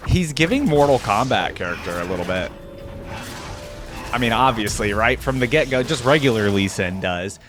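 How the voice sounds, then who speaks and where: A man giving casual, slangy, enthusiastic gaming reaction commentary, thinking out loud as he goes. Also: medium pitch, lively intonation, normal speed, noisy background. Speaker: reaction video host. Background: game music.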